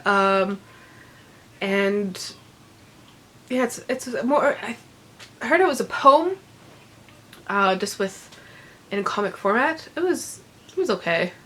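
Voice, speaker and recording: A woman's voice: medium pitch, lively intonation, takes it slowly, long pauses, a bit bright. Congested woman chatting about books. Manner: rambling, many ums, laughs often. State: congested, recovering from illness. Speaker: book vlogger. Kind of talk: casual unedited book chat.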